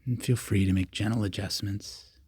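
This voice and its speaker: A man leading a guided meditation, giving calm, simple, repeated instructions with long pauses between them. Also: on the low side, breathy edge, no room sound. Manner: calm, repetitive, unhurried cues with long pauses.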